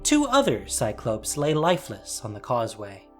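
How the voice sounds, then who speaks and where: Animated narrator of a dramatic tale. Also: medium pitch, moderate pace. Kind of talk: scripted dramatic story narration. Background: music.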